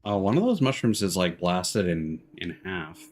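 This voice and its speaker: Male game streamer, very low register with animated swings, off-the-cuff commentary, noticeable background noise. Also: moderate pace. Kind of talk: casual live game commentary, thinking aloud. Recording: clearly audible background noise and clicks.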